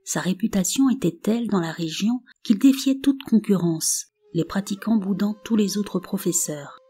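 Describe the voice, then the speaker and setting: A woman narrating a folk tale in a calm, measured storybook reading with soft phrase endings. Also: medium pitch, husky. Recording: narration over faint background music.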